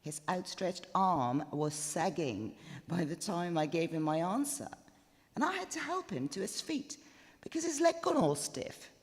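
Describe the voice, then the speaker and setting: A woman's voice: lowish voice, very animated, measured pace. A woman voicing a frank, smitten young bride-to-be confiding in her diary, in a live story reading. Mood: fond, smug. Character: young bride-to-be, frank, sweary, defiant yet smitten diarist. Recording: live in echoey stone chapel, long reverb.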